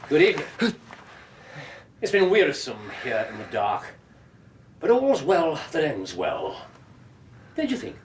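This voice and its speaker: An actor playing a theatrical, teasing English detective in a radio drama, husky, savouring his pauses and flourishes. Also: on the high side, wildly swooping pitch, slight background noise. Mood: weary, wry. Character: theatrical, teasing English male detective, self-satisfied and playful.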